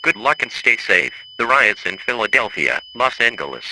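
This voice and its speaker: A man's voice: medium pitch, very animated, moderate pace, bright, forceful tone, a little hiss or hum, rough recording. A man playing a stern government emergency alert announcer, reading formal, stilted scripted warnings over a faintly humming broadcast.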